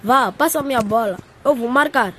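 A woman's voice: fairly high pitch, fairly quick, noisy background, natural voice. A woman voicing a wistful, playful little boy in a radio drama. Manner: childlike, questioning.

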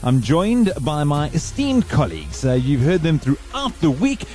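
A man's voice: on the high side, huge pitch swings, measured pace, a little mellow, faint music behind. A man hosting a podcast in casual chat, rambling and digressing as he talks. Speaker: podcast host.

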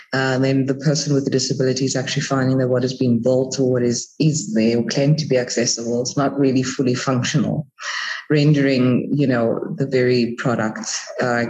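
A woman's voice: very deep voice, a little mellow, poor audio. A woman with a South African accent talking casually as an interview guest, often circling back and correcting herself as she explains.

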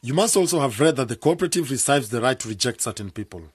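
An actor playing a wary, businesslike young cooperative manager with a husky voice and a challenging, formal manner in a radio drama. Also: male voice, medium pitch, expressive, fast talker, no room sound. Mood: suspicious.

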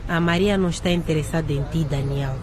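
A woman's voice: deep voice, fast talker, a little mellow, lightly acted. Woman playing a sly, taunting young schemer. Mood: cool, suspicious. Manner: taunting, sardonic. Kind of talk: radio drama acting.

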